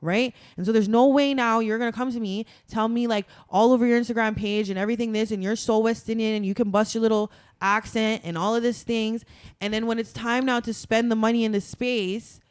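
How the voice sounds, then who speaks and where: Young woman, podcast guest, smooth clear tone, dry close sound, emphatic and chatty. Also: on the high side, fairly even intonation, a little fast, dry recording. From Toronto, Canada. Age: young adult. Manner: chatty and emphatic, many "like" fillers. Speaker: guest, carnival masquerader. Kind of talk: casual podcast conversation.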